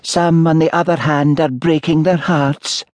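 A man's voice: on the high side, little pitch movement, a little slow, dry recording, pitched above the performer's own voice, natural voice. A man voicing an irritating, accusing village spinster who repeats herself, in an audiobook. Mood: reproachful. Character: irritating spinster villager, repetitive, reproachful woman. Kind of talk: audiobook character voice.

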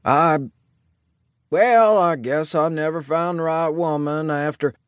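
A man voicing a kindly, easygoing older Old West sheriff in a storybook, with a folksy, hesitant, calming manner. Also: a little high, slow pace, clear, resonant tone, dry recording, clean studio sound, voice pushed up.

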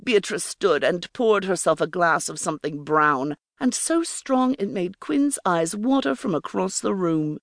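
Expressive woman narrating an audiobook. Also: fairly low pitch, measured pace, vocal fry, hardly any pauses, dry recording, clean studio sound. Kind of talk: scripted fiction narration.

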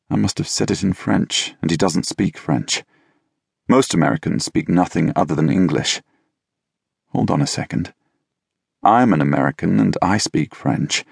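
A man narrating a novel in the first person, with a wry, measured, deadpan delivery. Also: deep voice, gravelly, breathy edge, no echo, studio quality. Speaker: audiobook narrator. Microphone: close studio mic.

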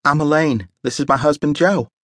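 A man voicing a bright, cheerful woman greeting newcomers. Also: on the high side, normal speed, no room sound, clean studio sound, pitched above the performer's own voice. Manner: light, cheerful.

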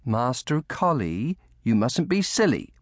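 A man's voice: fairly high pitch, sing-song, unhurried, dry recording, clean studio sound, voice pushed up. A man voicing a devoted young maidservant in a storybook, fussing over her charge in a motherly, anxious way. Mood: fond, firm. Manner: anxious, motherly scolding and soothing. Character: devoted, fussing young maidservant woman of humble cottage family.

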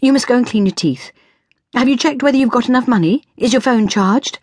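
A woman's voice: on the low side, wildly swooping pitch, moderate pace, slightly rough, dry recording, studio quality, voice pushed up, exaggerated voice. A woman voicing a frazzled, sweary mother in a storybook, exasperated and fretting over her son, snapping and shrieking. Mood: anxious, nagging.

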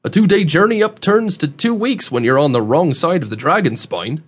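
A man's voice: a little high, animated, moderate pace, slightly rough, pitched above the performer's own voice. A man narrating an audiobook, voicing a scruffy, blunt old hermit who talks in a matter-of-fact rustic dialect. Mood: warning.

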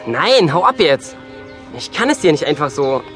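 An actor playing a gruff, defensive, hot-tempered young man in an audio drama. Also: on the high side, sing-song, normal speed, fairly bright tone, faint music behind. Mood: aggressive, threatening. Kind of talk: German audio drama acting.

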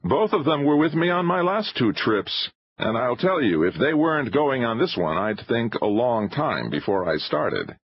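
A man acts out a hard-driving film-maker of thirty-five in a storybook adventure. His voice leaps high and swings widely as he insists and demands, with a husky edge. He is impatient and used to command. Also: big pitch swings, normal speed, few pauses, no room sound, highs cut off. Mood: brisk, hearty. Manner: forceful, emphatic, insistent. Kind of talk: dramatised audiobook dialogue.

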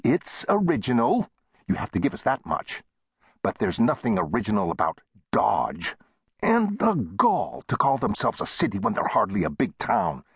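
A man's voice: wildly swooping pitch, moderate pace, husky, no echo, clean studio sound, exaggerated voice. A man voicing a touchy, gloomy and indignant plump storekeeper, complaining in a western audiobook. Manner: heated, complaining, raised pitch.

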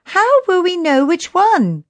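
A woman's voice: very high voice, sing-song, a little slow, no echo, pitched above the performer's own voice, full character voice. A woman voicing an anxious, caring young girl on an adventure in a children's audiobook. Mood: urgent.

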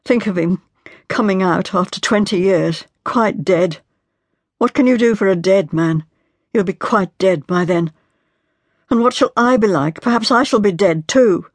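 A woman reading an audiobook, voicing a grief-stricken young woman in her twenties with a pleading, tormented voice that strains high. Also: a little slow, dry recording, studio quality, natural voice. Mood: anguished. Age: 20s. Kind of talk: audiobook character voice.

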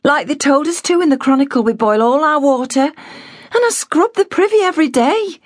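High, urgent, defiant young girl, voiced by a woman, dry close audiobook sound. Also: animated, moderate pace, no echo. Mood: anxious. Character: spirited thirteen-year-old working girl, defiant.

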